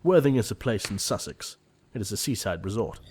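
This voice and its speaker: A man playing an earnest young gentleman suitor in a period comedy audio play, formal and easily exasperated. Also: on the low side, animated, fairly quick, slight background noise, lightly acted. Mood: awkwardly formal. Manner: formal, earnest, fussily indignant.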